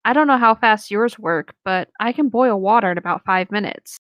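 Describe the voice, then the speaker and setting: A woman with a mid-pitched voice reads a story aloud. She mixes narration with short, casual banter. The recording is very dry and close, with no room sound. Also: moderate pace, no room sound, dull audio. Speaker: co-host reading stories aloud.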